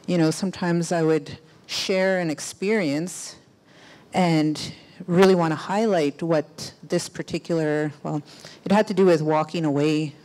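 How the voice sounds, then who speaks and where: A woman in her thirties speaking informally and reflectively as a panelist, in halting phrases into a handheld microphone. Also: deep voice, steady tone, a little mellow, rough recording. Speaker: panelist, filmmaker. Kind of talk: personal storytelling in a panel discussion. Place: stage of a cinema auditorium. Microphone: handheld microphone held close.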